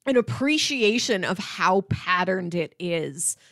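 A woman's voice: fairly low pitch, expressive, takes it slowly, fairly bright tone, no echo, clean studio sound. A woman co-hosting a podcast, chatting casually and enthusiastically about films, with "like" sprinkled through her talk. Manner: enthusiastic, conversational, peppers talk with "like". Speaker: podcast co-host. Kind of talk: casual film discussion chat.

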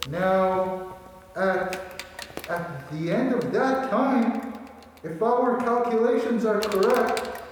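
An actor playing a folksy, wonderstruck amateur inventor in a 1940s radio play, musing in a halting way. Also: male voice, high-pitched. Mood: earnest announcing. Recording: echoey hall, distant mic, noisy.

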